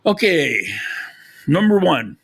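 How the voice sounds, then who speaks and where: Gravelly-voiced man, rambling podcast chat. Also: a little high, sing-song, slow, fairly bright tone, faint music behind. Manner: rambling, conversational, frequent restarts. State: losing his voice. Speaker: podcast host. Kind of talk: casual live podcast chat. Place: lives in Colombia.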